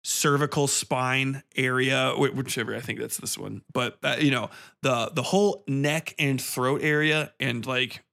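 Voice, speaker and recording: Bright-voiced man ranting sarcastically about a film. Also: medium pitch, steady tone, a little slow, gravelly, few pauses. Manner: sarcastic, irreverent, rambling. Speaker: podcast host reviewing a film. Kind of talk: casual, profane film review monologue.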